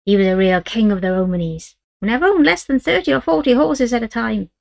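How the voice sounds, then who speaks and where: A woman voicing a fierce old great-grandmother from a storybook, with a high, croaky old voice. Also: brisk, darkish tone, no echo. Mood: wistful.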